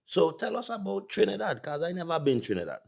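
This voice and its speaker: A man's voice: on the high side, very animated, fast talker, creaky, darkish tone. Virgin Islands man hosting, loose joking chat. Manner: joking, laughs often, loose Caribbean phrasing. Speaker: podcast host. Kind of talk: casual podcast chat.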